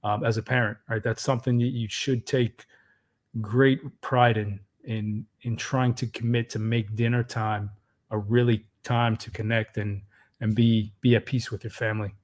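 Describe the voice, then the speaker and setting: A man's voice: fairly low pitch, little pitch movement, normal speed, darkish tone. Earnest man talking fatherhood. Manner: earnest, clears his throat, restarts words. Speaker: podcast co-host, father of three. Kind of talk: casual parenting advice chat.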